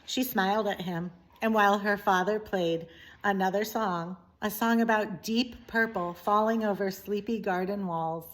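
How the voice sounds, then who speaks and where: A woman reading a children's story aloud in an expressive, deliberate way with dramatic pauses. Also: medium pitch. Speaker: teacher reading a book aloud.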